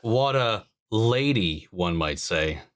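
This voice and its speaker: Male reaction host, very slow pace, casual, dryly amused, lively pitch, music behind. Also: background noise.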